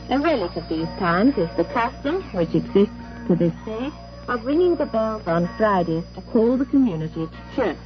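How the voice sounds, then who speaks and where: A woman's voice: medium pitch, lo-fi sound. A woman reading a scripted program as a 1960s shortwave radio announcer, speaking formally with careful enunciation.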